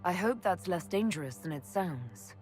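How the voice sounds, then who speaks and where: Female actor as composed leader, guarded, direct, slightly low pitch, game dialogue, music behind. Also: lively intonation, normal speed, darkish tone, lightly acted. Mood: skeptical. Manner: direct, questioning, level-headed. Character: composed, guarded heroine leading an inquisition. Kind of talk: video game character acting.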